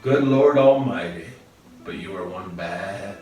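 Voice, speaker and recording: A man voicing a good-humored frontier marshal, talking in a low, easygoing drawl. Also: expressive, measured pace. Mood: wry. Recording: roomy echo, distant mic, faint guitar music.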